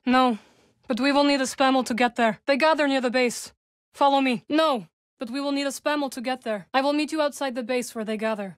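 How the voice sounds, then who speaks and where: An actress voicing a composed, dry-witted young witch warrior in a video game, speaking in measured, formal phrases. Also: high voice, no room sound, close to an everyday voice. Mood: calm, resolute. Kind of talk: video game character dialogue.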